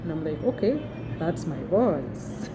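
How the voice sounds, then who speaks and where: A young adult woman with a big, deep voice tells a personal story to her phone camera in a chatty way with many fillers. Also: sing-song, measured pace. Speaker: vlogger. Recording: noticeable background noise.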